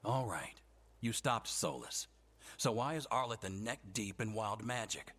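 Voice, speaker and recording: A man playing a gruff, sardonic elven scout leader in a video game, rough-voiced and wryly understated. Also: lowish voice, measured pace, a bit bright. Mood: accusing. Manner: wry, grudging, understated.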